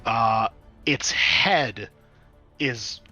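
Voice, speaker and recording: A man acts as game master for a tabletop roleplaying session. His voice is bright and forceful at a middling pitch. He moves between offhand explanations and dramatic description of the scene, with faint music underneath. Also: drawn-out words, muffled recording.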